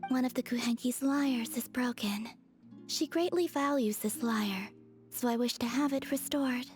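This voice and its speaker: A woman voicing a gentle, serene, otherworldly young maiden in a video game, speaking softly and formally, with music behind. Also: on the high side, measured pace, close to an everyday voice. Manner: soft, composed, formal.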